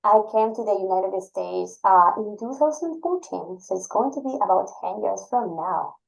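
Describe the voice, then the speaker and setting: A woman from Ecuador, a native Spanish speaker, talks as a guest on a college podcast. Her voice sits at a middling pitch, and her English is earnest, with small slips and restarts. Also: animated, normal speed, vocal fry, muffled recording. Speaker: assistant director of graduate admission.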